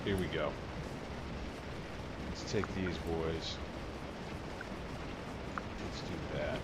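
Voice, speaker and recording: A man hosting a casual multiplayer gameplay session, relaxed and joking, often muttering quietly to himself. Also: very deep voice, extremely slow. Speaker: channel host. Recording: noisy game audio behind.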